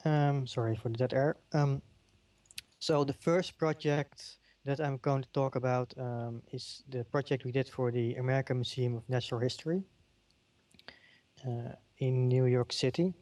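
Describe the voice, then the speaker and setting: A man's voice: medium pitch, fairly even intonation, normal speed, mellow. A middle-aged man from the Netherlands with a Dutch accent, giving a conference keynote with many ums and uhs. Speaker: keynote speaker. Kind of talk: conference keynote in a virtual world.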